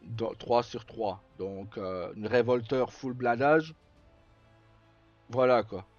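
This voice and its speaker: A man live streaming, casually telling roleplay stories in a rambling, halting way with pauses mid-sentence. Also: medium pitch. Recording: slightly muffled, roomy, faint music. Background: background music.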